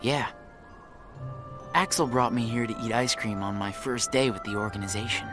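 A man playing an earnest, uncertain teenage boy in a game cutscene, soft, sincere and hesitant. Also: medium pitch, moderate pace, over music, natural voice. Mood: wistful. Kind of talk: video game cutscene acting.

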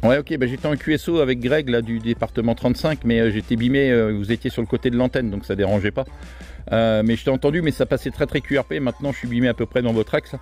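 A man's voice: mid-range voice, quick pace, darkish tone. A man talking casually as an amateur radio operator, trading call codes and signal reports. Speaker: amateur radio operator running contacts from a hilltop. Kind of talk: casual radio contact exchange with operator jargon. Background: music.

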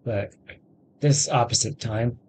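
A man's voice: medium pitch, slow, darkish tone, slight background noise. A man in his thirties giving casual, offhand gameplay commentary as a video host, close to the mic. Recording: game music behind the voice.

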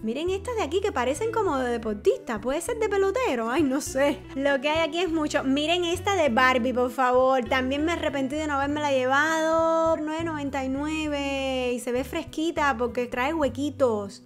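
A Cuban woman with a Cuban Spanish accent and a high voice, narrating a shopping vlog in voice-over. She talks in a chatty, exclaiming way, often laughing. Faint music plays under her. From Cuba. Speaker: shopping vlogger. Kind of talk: voice-over narration of a store haul. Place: home recording, voice-over.

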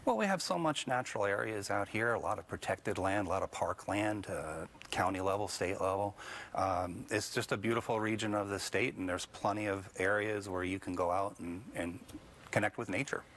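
Deep-voiced middle-aged man, measured. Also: a bit bright, a little hiss or hum. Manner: measured, with hesitations and restarts. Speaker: guest, book co-author. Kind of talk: TV studio interview. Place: TV news studio. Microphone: lapel mic.

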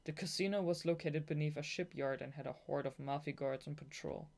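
Young narrator with a mid-pitched voice. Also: brisk, gravelly. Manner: giggly, excitable asides between read passages. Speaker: audiobook reader. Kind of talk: scripted novel narration with blooper asides.

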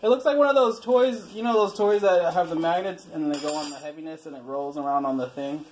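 A man's voice: fairly high pitch, brisk, a little mellow. A curious man chatting and asking questions in a home kitchen, picked up by a handheld phone. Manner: curious, asks lots of questions. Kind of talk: casual family chat in the kitchen. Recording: noisy kitchen background.

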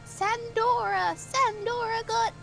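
A woman voicing a morbid, traumatized village child with deadpan drama for a game dub. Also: very high voice, slow, gravelly, voice pushed up, full character voice. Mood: morbid, haunted. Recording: loud game music behind the voice.

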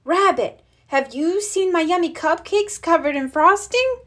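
A woman's voice: extremely high pitch, animated, measured pace, a bit bright, pitched above the performer's own voice, full character voice. A woman voicing a grumpy, curt male bear who refuses to share, in a children's storybook read-aloud. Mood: urgent, questioning.

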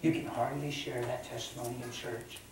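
An older man preaching a sermon in a folksy, animated way, swinging between loud and hushed, in a large indoor arena hall, picked up from far off by the camera. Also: medium pitch, a little fast. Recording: echoey hall from far off, ambient noise.

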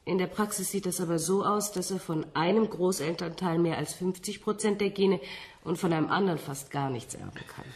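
An actress in a radio play, playing a composed woman scientist who explains things in a measured, slightly condescending way. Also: fairly low pitch, a little mellow, lightly acted. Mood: patient, superior. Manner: didactic, measured, with small rhetorical tags.

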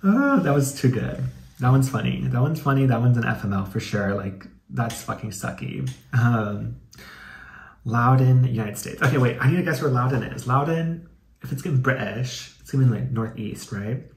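A man's voice: medium pitch, animated, normal speed, creaky, echoey room. A man doing a casual, comedic dramatic reading with commentary, in a sassy, campy, slangy way with some swearing. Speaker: YouTube host.

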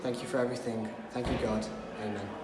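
A man's voice: mid-range voice, flat delivery, fast, over a noisy crowd. A teenage male student reading a prayer aloud. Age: teens. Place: indoor school room. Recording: echoey, distant mic, loud noise.

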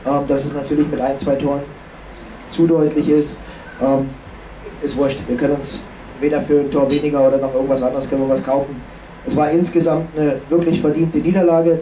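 Mellow, even-toned male coach, sober and halting. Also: on the high side. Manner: sober, halting, self-critical. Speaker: ice hockey coach. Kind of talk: post-game press conference analysis. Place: indoor press conference room. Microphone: handheld microphone. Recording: echoey room, distant, faint noise.

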